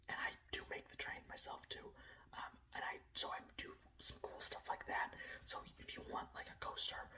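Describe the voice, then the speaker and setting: A man's voice: moderate pace, bright, forceful tone, reverberant, far from the mic. A man hosting ASMR, whispering throughout as he reads fantasy lore and chats, playfully stuttering and repeating words. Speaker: ASMR channel host.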